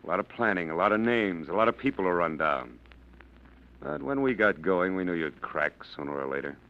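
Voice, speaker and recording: An actor playing a blunt, hard-bitten FBI agent with clipped, commanding lines, in 1940s radio acting style. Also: male voice, low voice, animated, brisk. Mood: cold. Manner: clipped, commanding, tough-guy talk. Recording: dull lo-fi old radio broadcast.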